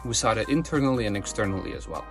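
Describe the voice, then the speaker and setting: A man in his twenties from Austria, a company co-founder delivering a scripted promotional monologue in a confident, steady way. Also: on the low side, quick pace, gravelly, soft background music. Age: 20s.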